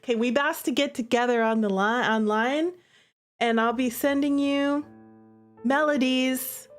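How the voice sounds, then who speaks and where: A woman with a slightly high voice hosts a casual game livestream. She is chatty and playful, talking straight to her viewers. Music plays behind her voice. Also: unhurried. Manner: playful, animated, chatty, addressing viewers directly. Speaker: streamer and visual novel creator hosting a playthrough. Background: music playing behind the voice.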